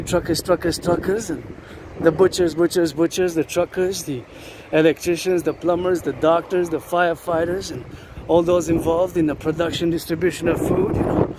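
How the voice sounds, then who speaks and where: A man delivering a rambling outdoor monologue, insistently repeating words and phrases. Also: on the high side. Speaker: vlogger. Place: outdoors near a road with vehicles. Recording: loud outdoor traffic noise. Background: passing vehicles, urban ambience.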